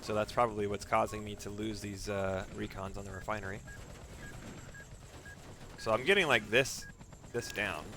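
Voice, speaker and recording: A man's voice: fairly low pitch, fairly even intonation, normal speed, pauses often, background noise. A man giving live speedrun commentary as he plays, narrating his own moves, repeating phrases and using mild exclamations instead of swear words. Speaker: video game streamer and speedrunner.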